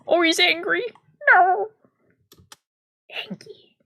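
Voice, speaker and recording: A husky-voiced woman streamer narrating a visual novel, with casual commentary. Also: very high-pitched, fairly even intonation, takes it slowly, bright, forceful tone. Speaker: let's play streamer.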